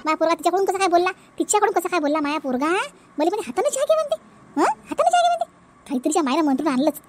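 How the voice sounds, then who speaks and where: Woman voicing a shrill-high, nagging village mother-in-law. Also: sing-song, rapid-fire, faint music behind. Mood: indignant, alarmed. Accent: Vidarbha Marathi. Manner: scolding, repetitive complaining. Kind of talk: exaggerated comic cartoon dialogue.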